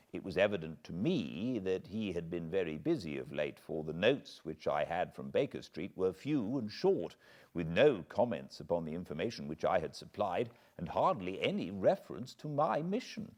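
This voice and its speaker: Brief: British male narrator, deep voice, animated pitch, measured storytelling. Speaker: audiobook narrator. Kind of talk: unabridged audiobook reading. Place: at home beside a fireplace.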